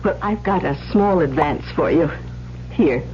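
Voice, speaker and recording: Gushing literary agent, patronizing politeness, slightly low pitch, old radio drama, noisy dull recording. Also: female voice, a little slow. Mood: placating. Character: gushing, smooth-talking female literary agent.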